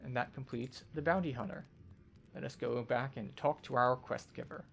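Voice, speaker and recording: Man with a slightly gravelly voice, game walkthrough. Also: mid-range voice, fairly even intonation, moderate pace, fairly bright tone, a little hiss or hum. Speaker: game tour guide narrator. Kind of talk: video game walkthrough commentary.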